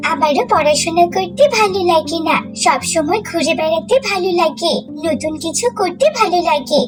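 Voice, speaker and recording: Woman as very high-pitched sly little schoolgirl. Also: normal speed. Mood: eager, curious. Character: sly young schoolgirl daughter, eager and fibbing. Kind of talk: children's moral cartoon voice acting.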